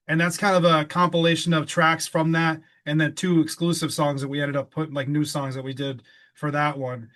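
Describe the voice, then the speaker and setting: A laid-back man in his thirties chats casually about his band. His voice is a bit high for a man, and he laughs easily. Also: fairly bright tone. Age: 30s. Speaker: band member. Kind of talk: casual interview chat.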